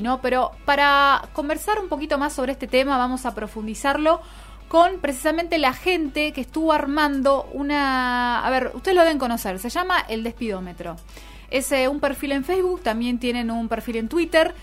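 A woman's voice: high-pitched, normal speed. A woman with an Argentine accent co-hosting a radio show, conversational as she comments and interviews, often adding tag questions. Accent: Argentine Spanish. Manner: seeks agreement with frequent tag questions. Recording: music playing behind the voice.

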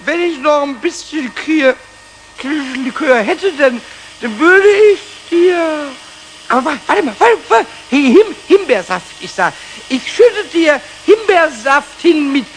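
A man voicing a cheeky, childlike little kobold in a 1970s children's radio play, squeaky and stammering in excited repetitions. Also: slow pace, a little hiss or hum, heavily acted, poor audio. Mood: tipsy, giddy. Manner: stammering, repeats words excitedly.

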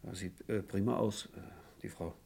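An actor playing an evasive, nervous insurance agent under questioning in a radio crime drama, halting and apologetic in his speech. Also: deep voice, fairly even intonation, gravelly, dark tone. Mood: rambling, evasive.

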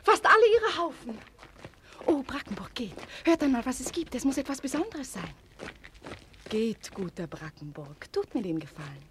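An actress playing a spirited young woman in love and torn between two men, theatrical and breathy, often dropping to a near-whisper, in a vintage German radio play. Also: high-pitched, moderate pace, slight background noise. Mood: curious, urgent. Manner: theatrical, emotionally expressive. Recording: vintage 1949 radio recording.

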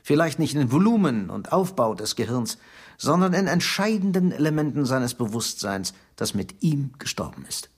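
An actor in a radio drama playing a scheming, secretive Soviet security chief who speaks in a conspiratorial, patronising, insistent way. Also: male voice, medium pitch, big pitch swings, moderate pace, husky. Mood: stern.

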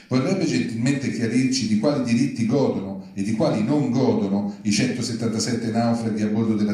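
A man reading his own satirical writing aloud at a live event, with a deadpan, mock-formal politeness. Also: medium pitch. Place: large reverberant venue at a live reading event. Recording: very echoey live public venue.

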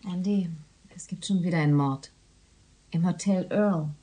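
An actress playing a businesslike police colleague in a radio drama, reporting matter-of-factly. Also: female voice, fairly low pitch, normal speed, mellow, lightly acted, rough recording. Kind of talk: dialogue-driven radio drama acting.

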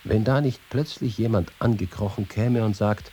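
Low, mellow male literary narrator. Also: moderate pace, slight background noise. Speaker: narrator reading a reporter's written account.

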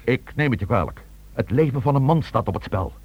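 An actor playing a commanding, determined police chief with a terse, authoritative manner in a Dutch radio play. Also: male voice, mid-range voice, animated, brisk, a little mellow, lo-fi sound, lightly acted. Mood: insistent, commanding.

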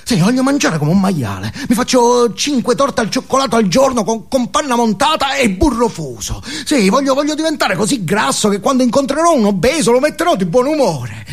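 A man's voice: extremely high pitch, very animated, a little fast, hardly any pauses, husky, exaggerated voice. An actor playing an unhinged, foul-mouthed, alienated man in an intense, volatile comic stage monologue. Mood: desperate, manic. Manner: intense, volatile, emphatic, swinging from muttering to yelling.

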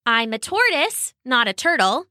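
A woman voicing a shy, polite female tortoise for a children's story, gentle but firm. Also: high-pitched, sing-song, measured pace, dry recording, clean studio sound, pitched above the performer's own voice, heavily acted. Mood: politely firm.

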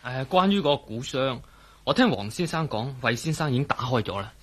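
An actor playing the proud, grave last chieftain of a dying tribe, speaking formal in a radio drama. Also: male voice, medium pitch, animated, fairly quick, slightly rough. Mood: earnest. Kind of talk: Cantonese radio drama acting. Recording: loud background noise, vehicle ambience.

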